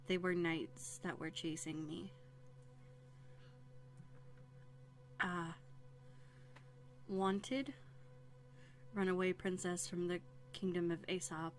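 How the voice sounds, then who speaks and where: Woman acting a low-voiced, haughty runaway princess. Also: natural voice. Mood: resigned, wry. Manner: prim, formal, indignant phrasing with dramatic hesitations. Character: haughty, wary young runaway princess, quick-tongued. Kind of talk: scripted audio roleplay acting. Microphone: close USB studio microphone.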